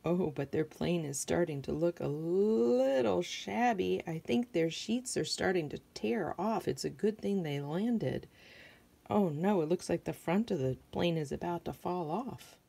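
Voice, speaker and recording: A woman's voice: fairly low pitch, expressive, unhurried, vocal fry, warm tone. A middle-aged American woman reading a picture book aloud to children, adding simple questions and observations as she goes. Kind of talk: read-aloud storytelling with asides to young listeners.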